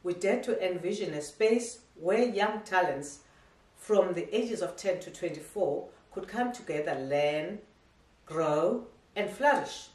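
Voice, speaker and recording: A middle-aged woman delivers a formal, ceremonial graduation speech in a measured way, with small stumbles as she reads. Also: fairly low pitch, animated, a little mellow. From South Africa. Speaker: academy head giving a graduation speech. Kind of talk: formal prepared speech read aloud. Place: living room at home. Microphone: camera across the room. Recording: echoey room, voice from a distance.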